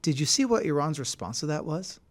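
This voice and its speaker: A man's voice: a little high, big pitch swings, a little fast. Insistent man arguing on a podcast. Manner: emphatic, insistent, argues his point hard. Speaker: podcast co-host. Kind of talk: casual podcast debate.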